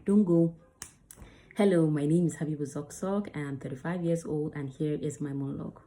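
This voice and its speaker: Female acting coach, chatty and emphatic, with a low, warm voice. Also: steady tone, a little fast, a little hiss or hum. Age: adult under 35. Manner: chatty, emphatic, demonstrates lines. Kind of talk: casual teaching to camera.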